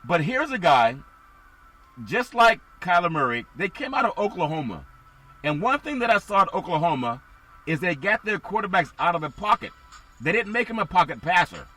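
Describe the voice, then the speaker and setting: A man hosting sports talk with a bright, forceful edge to his voice. It sounds a touch scratchy and raspy today. He rambles through team after team and keeps asking his partner for his thoughts. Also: high-pitched, very animated, normal speed. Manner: rambling, asks co-host for thoughts.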